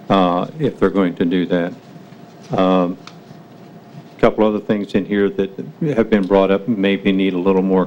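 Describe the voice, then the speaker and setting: A middle-aged man chairing a city council meeting, speaking in a measured, hesitant way. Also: deep voice. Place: council chamber at a table. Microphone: table microphone. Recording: dull meeting recording, faint noise.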